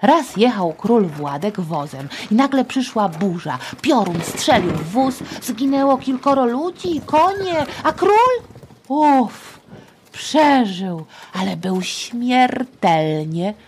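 A middle-aged woman hosting a children's history podcast, telling a story in a playful, colloquial, expressive way. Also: fairly high pitch, slow pace, slight background noise. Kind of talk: informal scripted storytelling for children.

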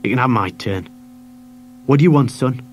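A man's voice: medium pitch, normal speed, slight background noise, natural voice. A man voicing a kindly, motherly woman. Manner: soothing, gentle.

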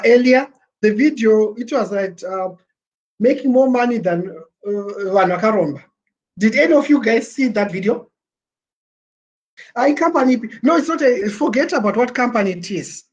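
A Zimbabwean man in his forties talking on a webcam livestream, animated and gesturing, slipping Shona words into his English. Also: very high voice, lo-fi sound. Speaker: livestream presenter. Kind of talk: casual livestream pitch. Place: small plain room indoors. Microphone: webcam at desk.

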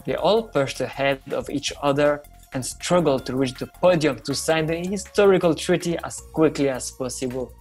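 An actor playing a foreign correspondent giving a measured report over a video link. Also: male voice, on the high side, darkish tone, husky, soft background music.

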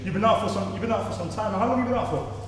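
A high-voiced young man, loud and hyped, coaches players through gym sets. His voice bounces around a large, echoey gym. Music plays in the background as the camera catches him from a distance. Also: rapid-fire, crowd noise. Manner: loud, hyped, encouraging shouts. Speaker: fitness coach and show host.

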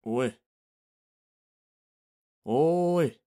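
A man playing a casual, gruff but caring senior coworker in a voice drama, talking bluntly and informally. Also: fairly high pitch, very slow, dark tone. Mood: teasing, concerned. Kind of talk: voice drama dialogue.

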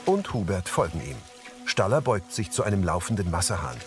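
Low-pitched man narrating with lively pitch over music. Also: fairly quick. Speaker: audio description narrator. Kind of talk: scripted narration.

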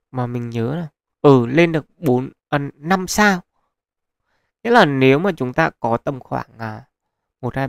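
A man with a gravelly, fry-tinged voice talks casually over his screen recording, giving viewers advice with lots of fillers. His mid-pitched voice is recorded close and dry. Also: no echo. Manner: chatty, advising viewers, many fillers. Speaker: gaming channel host. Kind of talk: casual gameplay commentary. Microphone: close mic, voice-over on screen capture.

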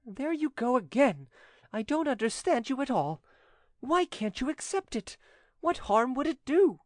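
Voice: sits high, sing-song, measured pace, a little mellow, no echo, studio quality, voice pushed up. A narrator voicing a timid yet insistent, doting French widow in an audiobook story. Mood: indignant, pleading.

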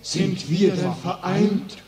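An actor playing a bewildered, anxious soldier, declaiming verse in an old radio play. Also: male voice, sits high, little pitch movement, slow. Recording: echoey old recording, loud crowd behind.

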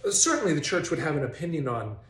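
A man in his forties hosting a faith video, giving sarcastic, mock-incredulous commentary straight to camera in a bright living room. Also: fairly high pitch, big pitch swings, fast talker. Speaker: host of a faith video series. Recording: roomy echo in a home.

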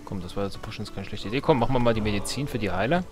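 A man's voice: lowish voice, rapid-fire. A man giving casual Let's Play commentary on a strategy game, colloquial and playfully exasperated, half talking to himself. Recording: game music behind the voice. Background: video game music.

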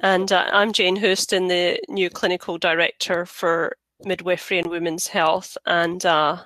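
A woman's voice: fairly low pitch, little pitch movement, unhurried, fairly bright tone, dry recording. A woman with a Scottish accent from Scotland, introducing herself as clinical director on a video call.

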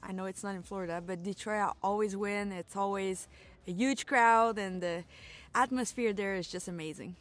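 A woman's voice: medium pitch, moderate pace. Cheerful woman from Canada. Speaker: monster truck driver. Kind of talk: interview answer. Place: dark studio, indoors.

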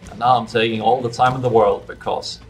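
A man's voice: mid-range voice, fairly even intonation, brisk. A man in his thirties giving casual let's play commentary at home, speaking into a headset. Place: small room at home. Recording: clear background noise, keyboard typing.

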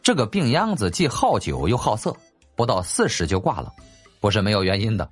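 一位男演播者用戏剧化又略带调侃的口吻讲述历史小说有声书。. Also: male voice, on the low side, big pitch swings, a little fast, breathy edge. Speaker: audiobook narrator. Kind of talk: dramatic storytelling narration of a historical novel. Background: faint background music.

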